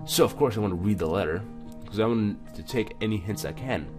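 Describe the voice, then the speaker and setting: Low-voiced man hosting a gaming video. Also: a little fast. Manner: informal, stumbles when reading aloud. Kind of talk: casual let's play commentary and reading aloud. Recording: music behind the voice.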